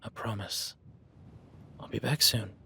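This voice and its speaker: A man voicing a rugged, protective cowboy rancher in a listener-addressed audio roleplay, talking in a low-key, calm, instructive way that often drops to a hush. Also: measured pace, vocal fry, breathy edge, full character voice. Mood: soothing. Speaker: ranch hand tracking a beast.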